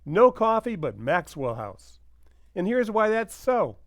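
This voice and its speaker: A man playing an earnest, persuasive pitchman in an old-time radio commercial, with emphatic salesman's patter. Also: big pitch swings, slow pace, no room sound, studio quality, heavily acted. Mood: earnest selling.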